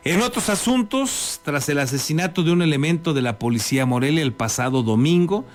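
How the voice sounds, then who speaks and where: A man's voice: on the high side, expressive, a little fast, faint music behind. A man with a Mexican accent presenting scripted news as an anchor.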